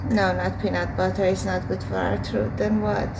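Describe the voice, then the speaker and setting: A hoarse woman talking through her day in a casual home vlog, slow and hesitant with many fillers as she cooks. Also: mid-range voice, flat delivery. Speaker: vlogger. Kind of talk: casual daily vlog while cooking. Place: home kitchen with windows open. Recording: home recording with outside traffic noise. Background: street and vehicle noise from outside.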